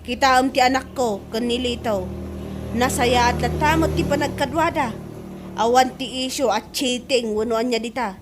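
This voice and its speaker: A woman's voice: a little high, normal speed, background noise, close to an everyday voice. A woman playing a chatty, proud middle-aged mother who gossips, in a comedy radio drama with an Ilocano accent. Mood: self-satisfied. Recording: music under the voice.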